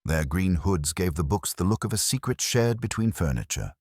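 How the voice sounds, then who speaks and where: Very deep-voiced male literary narrator. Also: lively intonation, moderate pace, darkish tone, husky, no echo, clean studio sound. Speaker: audiobook narrator. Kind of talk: scripted literary narration of a detective story.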